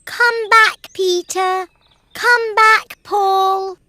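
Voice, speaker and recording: A woman's voice: very high voice, fairly even intonation, very slow, bright, forceful tone, voice pushed up, exaggerated voice. A woman voicing a sweet, friendly young girl in a children's story, with simple, exaggerated delivery. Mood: pleading.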